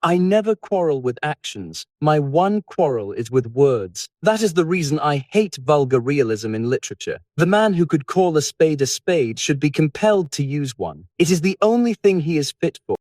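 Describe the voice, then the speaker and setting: Guy doing a witty posh english lord, teasing dandy, highish voice that swoops around, dry audiobook sound. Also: big pitch swings, moderate pace, few pauses, darkish tone, no room sound. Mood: amused, teasing. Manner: aphoristic, teasing, theatrical. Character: witty male English aristocrat, languid epigrammatic dandy. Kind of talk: audiobook character dialogue.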